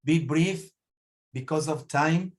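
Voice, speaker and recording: A man with a fairly high voice hosts an online meeting. He is courteous, often doubling his phrases as he nudges participants along. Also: slow pace. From Peru. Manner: courteous, repeats himself to urge speakers.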